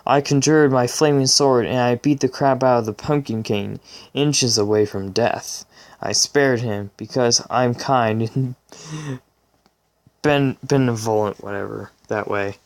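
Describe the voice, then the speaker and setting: Clear-voiced man reading fan fiction casually. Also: mid-range voice, a little slow. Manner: informal, amused, stumbling over words. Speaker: channel host.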